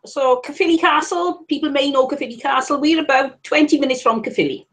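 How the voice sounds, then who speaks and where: A woman's voice: sits high, brisk, a bit bright. A woman with a Welsh accent from South Wales, a guest reseller on a live stream, chatty and candid with wry asides. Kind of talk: casual live-stream chat.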